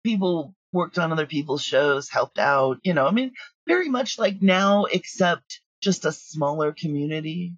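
A woman's voice: low voice, animated, normal speed, husky, no room sound, dull audio. A woman chatting casually as an interview guest, telling stories with plenty of asides. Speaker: guest, audio drama creator.

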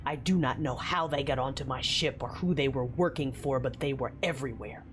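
A woman's voice: a bass voice, a little fast, noisy background. A woman playing a grave, commanding and worried mother who leads a starship, narrating in an audio drama. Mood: grave, anxious. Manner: grave first-person narrating.

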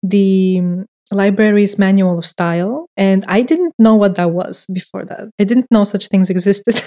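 A woman's voice: medium pitch, fairly even intonation, a little slow, dark tone. A young woman with a Bulgarian accent, a non-native English speaker, chatting in a podcast interview with hesitant, thoughtful phrasing and small laughs. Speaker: director of records and research.

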